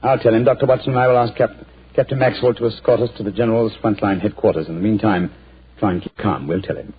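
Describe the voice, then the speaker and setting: An actor playing a cool, incisive middle-aged detective in a 1940s radio drama, assured and faintly condescending. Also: male voice, on the low side, steady tone, quick pace, lightly acted. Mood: reassuring. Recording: lo-fi old radio broadcast.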